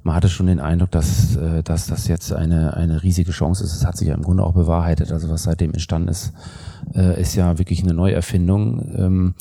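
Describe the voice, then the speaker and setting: A man from the Münsterland, an architect guest in an interview, talking in a halting way with many fillers and restarts. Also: sits low, flat delivery, creaky, dark tone. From Münsterland, Germany. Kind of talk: casual interview talk.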